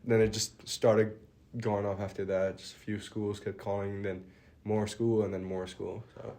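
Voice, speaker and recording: A young man from the Dominican Republic, a college baseball pitcher, answering interview questions in a relaxed, plainspoken way and letting his phrases trail off quietly. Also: moderate pace. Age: young adult.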